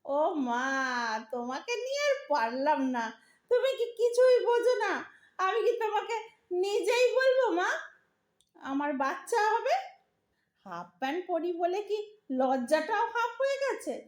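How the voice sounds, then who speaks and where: A woman's voice: very high voice, sing-song, unhurried, pauses often, reverberant, exaggerated voice. A woman playing an impatient but loving young married Bengali daughter in an audio drama, pleading and emotional, with a Bengali accent. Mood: exasperated, shy.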